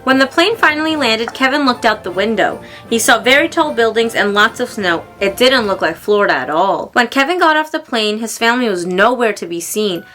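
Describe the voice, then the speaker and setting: A woman reads a children's storybook aloud in an expressive way, acting out the exclamations and dialogue. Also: fairly high pitch, a little fast, few pauses, soft background music. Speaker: storybook reader.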